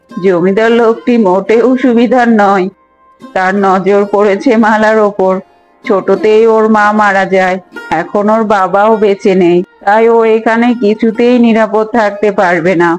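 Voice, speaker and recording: A woman's voice: mid-range voice, steady tone, unhurried, faint music behind, lightly acted, poor audio. Woman acting a kindly, pleading old village granny. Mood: worried, pleading. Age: older. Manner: pleading, affectionate. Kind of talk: Bengali cartoon voice acting.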